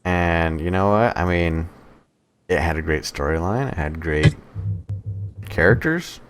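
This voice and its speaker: A man's voice: deep voice, unhurried, soft background music. A man co-hosting a podcast, bantering casually and informally with the odd swear word. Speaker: podcast co-host.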